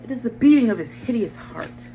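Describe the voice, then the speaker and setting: A woman voicing a guilt-crazed murderer who narrates a gothic horror story in a theatrical, deliberate way. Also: fairly high pitch, expressive, dark tone, close to an everyday voice, low-quality recording. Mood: hysterical, shrieking. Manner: theatrical, deliberate, building to shrieking hysteria. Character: guilt-crazed murderer narrator, unraveling from smug calm into frenzy. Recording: home recording with clear background noise.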